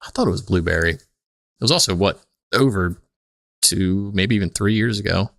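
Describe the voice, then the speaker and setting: Low-pitched man hosting, dry close sound. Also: normal speed, fairly bright tone, no echo. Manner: crude, joking. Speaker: podcast host. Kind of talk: casual comedic banter.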